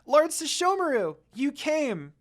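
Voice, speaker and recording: An excitable little girl from a fan story, acted out by a narrator. The voice jumps high and swings widely, eager and devoted, crying out her words. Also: slow, exaggerated voice. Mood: happy, relieved. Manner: crying out, exclaiming.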